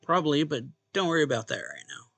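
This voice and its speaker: A man's voice: a little high, huge pitch swings, quick pace, creaky, fairly bright tone, no echo. A man reading a visual novel's narration and dialogue aloud expressively, as a let's play host. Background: game music.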